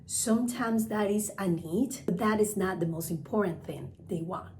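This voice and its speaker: A woman's voice: mid-range voice, normal speed, darkish tone. A woman who is a native Spanish speaker with a Spanish accent, giving an instructional talk as a trainer, emphatic and repeating key phrases. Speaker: travel agent and group trainer. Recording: clearly noisy recording with ambient sound.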